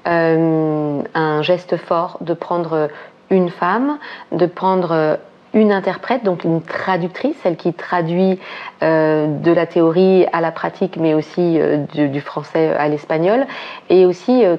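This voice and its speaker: A woman's voice: lowish voice, a little slow, highs cut off. A woman interviewing guests, asking long formal questions with frequent "euh" hesitations.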